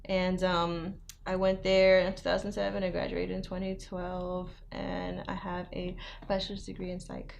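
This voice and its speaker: A woman's voice: on the low side, monotone, normal speed, gravelly, hardly any pauses, slight background noise. A young woman from New York who grew up in South Florida, a model being interviewed, talking casually and saying "like" often. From New York, raised in South Florida. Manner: chatty, peppers speech with "like", laughs easily. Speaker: guest model.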